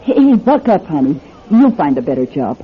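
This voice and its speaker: A woman's voice: deep voice, sing-song, moderate pace. An actress playing a kindly, modest cleaning woman in a radio drama, folksy and a little hesitant. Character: kindly, modest scrubwoman with mind-reading knack. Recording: dull lo-fi radio drama tape.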